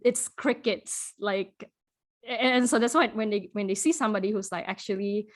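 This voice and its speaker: Halting, laughing woman from Singapore. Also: mid-range voice. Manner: thoughtful, halting, many restarts and fillers, laughs often. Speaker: podcast guest. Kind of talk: casual conversational interview.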